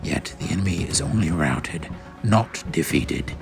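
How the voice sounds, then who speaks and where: A man's voice: deep voice, lively intonation, a little slow, vocal fry. A man giving a solemn, theatrical first-person narration of a space saga, as in a dramatic comic-book reading. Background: music.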